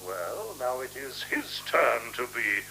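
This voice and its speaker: A man's voice: medium pitch, big pitch swings, takes it slowly, pushed and bright, exaggerated voice, poor audio. A male actor in a radio drama playing a menacing, sardonic leader who speaks in clipped, commanding, mock-military formality. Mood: scornful. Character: menacing, sardonic leader interrogating and condemning a traitor. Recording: 1970s radio recording with faint hum.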